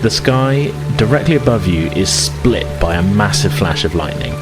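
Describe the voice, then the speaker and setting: A man's voice: lowish voice, lively intonation, moderate pace. A man narrating a tabletop roleplaying game as game master, conversational and a little hesitant as he improvises. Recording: loud music and rain ambience behind. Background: music, rain sound effects.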